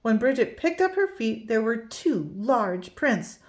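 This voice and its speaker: A woman reading a children's picture book aloud, expressive and storytelling. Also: fairly high pitch, unhurried. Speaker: read-aloud host.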